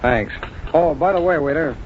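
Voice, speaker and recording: An actor playing a brisk, decisive federal agent in a 1940s radio crime drama, with clipped, matter-of-fact delivery. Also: male voice, a little high, close to an everyday voice. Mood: casual inquiry. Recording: dull, noisy 1940s radio recording.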